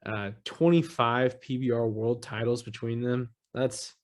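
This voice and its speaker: A man hosting a laid-back sports podcast. He rambles easily, with plenty of "you know" and "uh" between his thoughts. His voice sits at an ordinary mid pitch. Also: measured pace. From Texas. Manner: relaxed, rambling, heavy on fillers. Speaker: podcast host. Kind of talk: casual sports chat.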